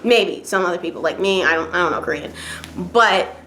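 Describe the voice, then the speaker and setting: A woman's voice: fairly low pitch, brisk, sharp tone, a little hiss or hum, poor audio. Chatty woman ranting with lots of fillers. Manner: chatty, frequent fillers and laughs, rising exasperation. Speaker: vlogger. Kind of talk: casual rant to camera.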